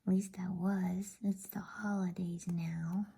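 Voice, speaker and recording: An awkward young schoolboy from a bedtime story, voiced by a woman, speaks in a soft breathy whisper. He is polite and unsure, hesitating and stammering in a mellow tone. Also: fairly low pitch, little pitch movement, slow, full character voice. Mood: nervous, polite. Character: timid, awkward, confused schoolboy.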